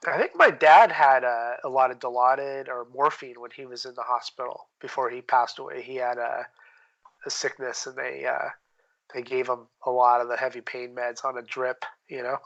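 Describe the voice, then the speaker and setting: A man talking casually as an interview guest, candid and laid-back, with plenty of slang, on low-quality audio. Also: fairly even intonation, moderate pace, creaky, a bit bright. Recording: dull lo-fi call-quality audio.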